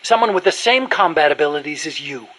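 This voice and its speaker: An actor playing an earnest, talkative American male nuclear scientist in a video game, explaining things in an animated manner. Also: fairly high pitch, fairly quick, slight background noise. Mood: reflective, probing.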